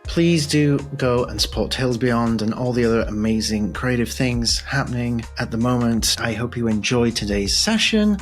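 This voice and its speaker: A male podcast host with a medium-pitched voice, talking casually and affably with a guest. He is playfully self-deprecating, and faint music sits behind the voice. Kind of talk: casual interview chat.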